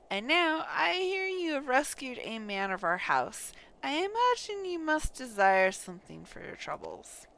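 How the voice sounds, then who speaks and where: A woman livestreaming a game playthrough, reading dialogue aloud in a theatrical, deliberate way with muttered asides. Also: fairly high pitch, fairly bright tone, poor audio. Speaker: game streamer.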